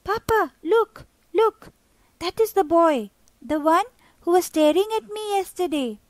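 A woman's voice: extremely high pitch, expressive, measured pace, dry recording, pitched above the performer's own voice. A woman voicing an earnest, eager young boy who has just moved abroad, in a storybook reading. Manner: earnest, wondering. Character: young boy, newly arrived, eager and shy.

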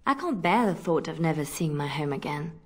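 A woman's voice: low voice, big pitch swings, a little fast, breathy edge, exaggerated voice. Earnest, anxious young woman spy. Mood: worried. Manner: earnest, pleading, hesitant. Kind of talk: video game voice acting.

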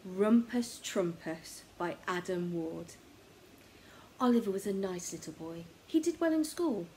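Female storyteller, middle-aged, mid-pitched, children's tale read at home, faint background noise. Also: animated, unhurried, warm tone, rough recording. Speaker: story reader. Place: room at home.

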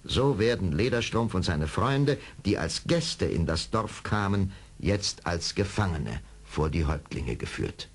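A man narrating a radio play as a dramatic storyteller, with a husky voice. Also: low voice, sing-song, measured pace, hardly any pauses.

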